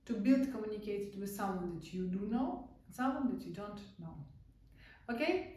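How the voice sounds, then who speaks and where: Woman, Russian accent, instructor, soft mellow tone, heard from a distance in a very echoey room. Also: medium pitch. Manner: non-native English with broken grammar, halting restarts. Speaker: art-therapy instructor. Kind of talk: casual online lesson.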